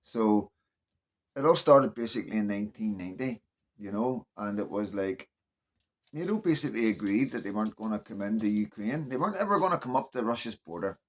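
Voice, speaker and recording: A man's voice: lowish voice, animated, fairly quick. A man from Northern Ireland with a Northern Irish accent gives casual, unscripted political commentary in an opinionated, conversational way, often checking in with the listener.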